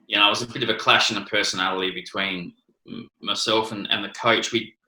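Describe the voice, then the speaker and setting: A man's voice: lowish voice, fairly even intonation, fast, sharp tone, echoey room, rough recording. A man with an Australian accent answering interview questions, hesitant, with many restarts and ums. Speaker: interview guest, former cricketer.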